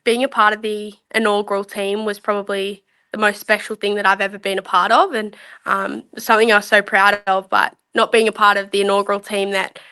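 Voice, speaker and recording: A woman's voice: mid-range voice, flat delivery, brisk, bright, forceful tone, clear, resonant tone. A young woman, a rugby league player talking openly and easily as a podcast guest. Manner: open, easygoing, candid. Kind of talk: casual interview chat.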